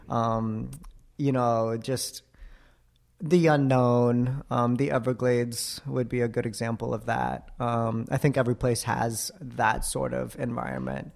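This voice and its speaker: A man in his twenties from Florida, answering interview questions in a thoughtful, hesitant way with many pauses and ums. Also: medium pitch, creaky, darkish tone. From Florida, USA. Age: 20s. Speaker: interview guest. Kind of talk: casual interview.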